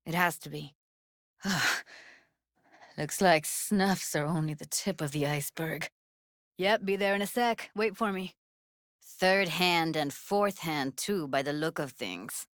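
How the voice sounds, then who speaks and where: A woman's voice: low-pitched, takes it slowly, no room sound, clean studio sound. A woman voicing a streetwise, guarded young techie in a video game, blunt and slangy, with an American accent. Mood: focused, dry. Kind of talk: naturalistic video game dialogue.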